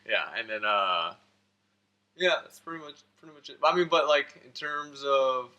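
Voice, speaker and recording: A man's voice: medium pitch, animated, normal speed, bright, forceful tone, lo-fi sound. An American man chatting casually as an interview guest, rambling along informally with lots of filler "like". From United States (Florida and Georgia).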